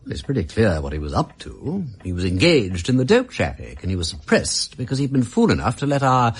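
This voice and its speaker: An aristocratic English amateur detective in a radio drama. His delivery is theatrical and eager, swinging widely in pitch as he reasons things out. The voice has a slightly rough edge and an upper-class polish. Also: huge pitch swings, a little fast, full character voice. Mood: brisk, probing. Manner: theatrical, emphatic, explanatory. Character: brilliant, excitable aristocratic amateur detective, eager and quick-minded.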